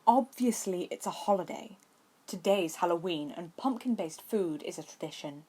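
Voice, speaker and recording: A woman's voice: fairly low pitch, lively intonation, moderate pace, dark tone, slightly rough. A woman voicing a wry, gloating, theatrical young schoolboy in a story reading. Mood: explanatory.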